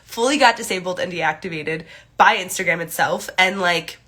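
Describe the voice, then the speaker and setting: A young woman speaks through a stuffy, congested nose, her voice bright and forward. She chats casually into a phone held at arm's length in a small room, leaning on "like" and "um" as she goes. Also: lowish voice, moderate pace, slightly rough. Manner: chatty, many "like" and "um" fillers, greets viewers brightly. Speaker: astrology livestream host. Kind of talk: casual livestream chat. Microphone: phone camera at arm's length.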